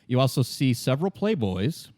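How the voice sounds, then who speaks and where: A man narrating a tabletop roleplaying game as game master in a casual, halting, conversational way with dry asides. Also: mid-range voice, big pitch swings, mellow, no echo.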